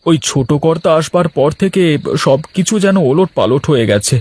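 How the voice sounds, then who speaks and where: A man's voice: a little high, animated, normal speed, darkish tone, husky. A man playing a humble, aggrieved labourer in a cartoon, plaintive and complaining. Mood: dejected, aggrieved. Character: humble aggrieved male labourer, husband, protesting innocence. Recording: light background music.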